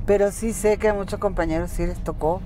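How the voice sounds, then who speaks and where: A woman in her fifties with a Colombian accent tells her story from the passenger seat of a moving car. She speaks candidly and at a steady, warm pace. Faint music plays under the conversation. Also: mid-range voice, brisk. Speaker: singer. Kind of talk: casual interview chat. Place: inside a car. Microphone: car-mounted camera.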